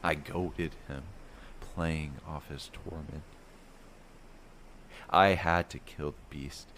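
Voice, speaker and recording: Male storyteller, bottom-of-the-range pitch, measured dramatic fantasy narration for bedtime listening, slight noise behind. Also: steady tone. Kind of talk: first-person bedtime fantasy story reading.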